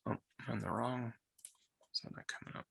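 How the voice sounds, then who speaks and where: Hoarse, gravelly man presenting a trading webinar. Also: medium pitch, fairly even intonation, a bit bright, no room sound. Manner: halting, many filler sounds and restarts. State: hoarse, losing his voice. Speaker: trading educator presenting a live market session. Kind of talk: live webinar market analysis.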